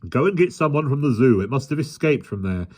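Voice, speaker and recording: A man voicing a policeman who shouts orders in a commanding, bellowing manner. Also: medium pitch, expressive, a little fast, slightly rough, voice pushed up. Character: loud gruff male policeman.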